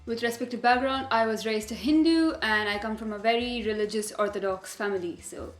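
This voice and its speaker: Eighteen-year-old woman from india, hesitant guest, slightly high voice, echoey room, faint music. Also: brisk. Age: teens. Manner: hesitant, with frequent "uh" and "um". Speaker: podcast guest. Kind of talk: casual personal account.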